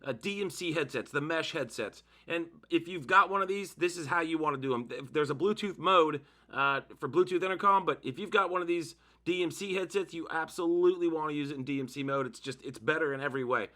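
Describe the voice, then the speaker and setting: A man's voice: on the high side, brisk, few pauses, sharp tone. A man narrating a casual how-to demonstration, explaining informally step by step as the host of a tutorial.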